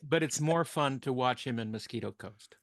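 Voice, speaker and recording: A man's voice: medium pitch, normal speed, no echo. A man co-hosting a podcast, bantering casually with blunt, teasing one-liners. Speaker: podcast co-host. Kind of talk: casual bantering fan chat.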